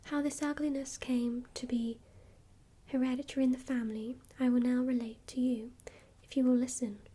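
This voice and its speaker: A strange old godfather murmurs in a grating, monotonous whisper, as a woman reads his part. The whisper stays high and soft, mellow, with a breathy edge. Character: odd old male godfather, grating and teasing.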